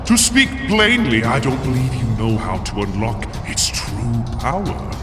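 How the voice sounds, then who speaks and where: An actor playing a gloating dark sorcerer in a fantasy comedy audio drama, mocking and grandiose in delivery. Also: male voice, mid-range voice, sing-song, measured pace. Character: gloating dark sorcerer villain, theatrical and menacing. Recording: echoey hall reverb with music behind.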